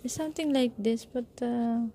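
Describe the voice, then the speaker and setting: A woman's voice: a little high, dark tone. A young woman chatting casually to her viewers while filming in a hardware store with a handheld phone. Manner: mixes languages, slow and chatty. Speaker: vlogger. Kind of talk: casual vlog chat. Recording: store crowd noise behind.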